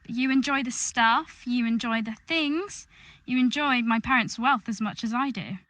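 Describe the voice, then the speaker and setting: A woman's voice: on the high side, moderate pace, fairly bright tone, close to an everyday voice. A woman playing a defiant, earnest eighteen-year-old rich girl in an audio drama. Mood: urgent, earnest.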